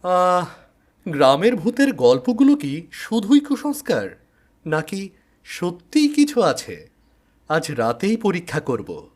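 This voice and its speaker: Raised-pitch bold ghost researcher , a man acting. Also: huge pitch swings, slow, pauses often, full character voice. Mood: curious, determined.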